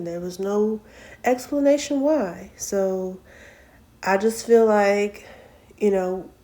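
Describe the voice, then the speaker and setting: A woman in her late twenties talking casually to her viewers in a personal vlog, soft-spoken, with frequent ums and self-corrections. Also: medium pitch. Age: 20s. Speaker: vlog host. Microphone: camera mic, sitting and reading from notes.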